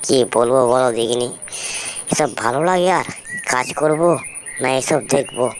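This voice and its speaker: A man's voice: medium pitch, moderate pace, lightly acted. Man playing anxious young villager over noisy birdsong. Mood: anxious, grateful. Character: Ramesh, worried younger village man pleading for help. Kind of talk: cartoon ghost story dialogue. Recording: clear background noise with chirping birds.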